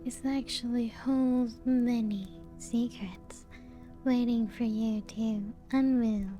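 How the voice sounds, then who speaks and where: Girl acting as a playful vampire lady, soft asmr whispery talk, kinda mellow and dark, music behind her. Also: on the high side, steady tone. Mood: soft, inviting. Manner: slow, hushed, often breaking into whispers and soft chuckles. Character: playful, teasing female vampire showing guests her ancient castle. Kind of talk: soft-spoken ASMR roleplay. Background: background music.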